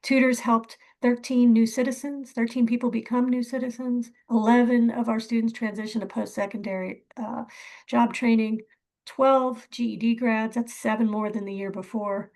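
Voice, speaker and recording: Female nonprofit leader, gravelly edge, informal and appreciative presenting style. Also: a little high, level tone, normal speed, darkish tone. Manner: appreciative, thanking. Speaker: nonprofit chief executive presenting.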